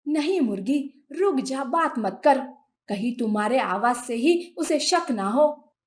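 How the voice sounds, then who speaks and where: A woman voicing a proud, shrewd mother cat in an animated children's story, speaking firmly and emphatically. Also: high-pitched, takes it slowly.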